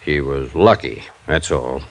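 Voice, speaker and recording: A man's voice: rumbling low, measured pace, full character voice. An actor playing an older American small-town newspaperman, wry and cagey, talking in a folksy rustic way in an old-time radio drama. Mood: grim, recounting.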